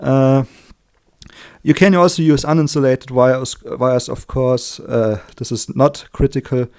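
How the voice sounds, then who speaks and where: A man with a German accent, a native German speaker, giving an informal hands-on electronics tutorial in a chatty, halting way, correcting himself as he works. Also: medium pitch, steady tone, a little mellow, clear, resonant tone. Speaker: electronics hobbyist demonstrating a circuit build. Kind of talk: informal hands-on tutorial, explaining while working.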